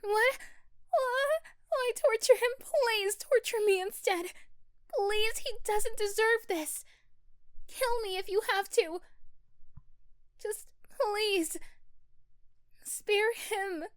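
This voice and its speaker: Woman acting a sweet, high-voiced stammering young woman. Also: very slow, pauses often, no echo, clean studio sound, heavily acted. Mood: desperate pleading. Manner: stammering, breathy exclamations. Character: sweet, shy young woman, captive and pleading. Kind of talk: dramatic audio roleplay acting.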